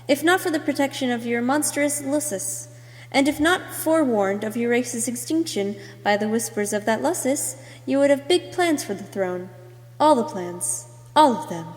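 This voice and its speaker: High-voiced woman narrating in long reverb. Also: darkish tone. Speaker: amateur voice actor. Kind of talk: scripted character narration for an amateur voice reel. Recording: echoey, long room reverb.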